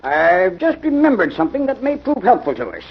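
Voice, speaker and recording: An actor playing a stern older professor with a husky, gravelly voice, blunt and insistent, in a 1940s radio mystery. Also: male voice, high-pitched, wildly swooping pitch, a little fast, exaggerated voice. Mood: probing. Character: stern older professor, suspicious academic investigator. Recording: dull vintage radio recording.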